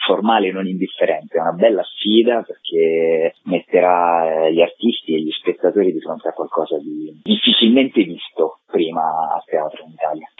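A man answering interview questions as a theatre director, thoughtful and articulate, with elaborate phrasing and a husky voice. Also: sits low, normal speed, hardly any pauses. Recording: tinny phone line, dry.

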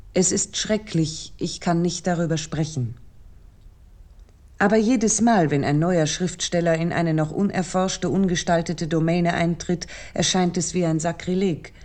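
An actress plays a thoughtful woman essayist with a slightly low voice. She delivers a scripted literary essay for radio, formal and articulate, setting out each thought in measured phrases. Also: a little mellow, lightly acted.

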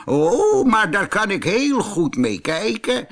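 A kindly old toy monkey acted by a man from a children's fairy tale, modest but plucky. The voice is raised and slightly husky, with a lively lilt. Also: high voice, takes it slowly, pitched above the performer's own voice, heavily acted. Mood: cheerfully unbothered.

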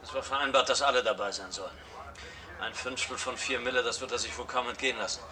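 An actor playing a controlling heist ringleader in a radio drama, by turns soothing and firm. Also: male voice, mid-range voice, steady tone, fast talker, far from the mic, a crowd in the background, natural voice. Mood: firm, insistent. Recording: clearly noisy background with clicks.

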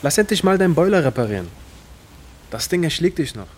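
A man's voice: a little high, very animated, fast talker, heavy background noise. A man acting a streetwise young petty criminal in an audio drama, slangy, brash and blasé. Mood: casual, boastful.

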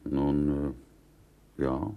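An actor in a radio play voicing a modest Polish butcher, a polite, wistful storyteller with a roundabout way of talking. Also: male voice, very deep voice, little pitch movement, drawn-out words, gravelly, smooth, phone-quality sound, exaggerated voice. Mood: polite, amused. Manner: polite, roundabout, inverted word order.

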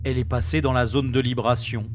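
A man's voice: medium pitch, little pitch movement, fairly quick, slight background noise, lightly acted. A man playing a level-headed starship pilot in an audio drama, speaking in a firm, matter-of-fact way. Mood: grave, reasoning.

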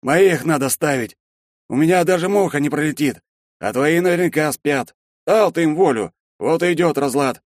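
Casting: reader doing a booming, scornful older man, raised pitch, punchy and loud, dry sound. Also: moderate pace, no echo, close to an everyday voice. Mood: reproachful. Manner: booming, mocking.

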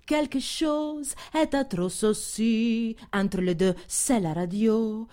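A woman's voice: on the high side, takes it slowly, warm tone, clear, resonant tone. A woman performing a song in a half-spoken, half-sung style.

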